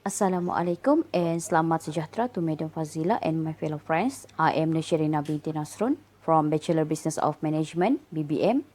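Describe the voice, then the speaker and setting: Low-voiced young woman, brisk and formal. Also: dark tone. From Sabah, Malaysia. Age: 20s. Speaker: student. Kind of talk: formal self-introduction.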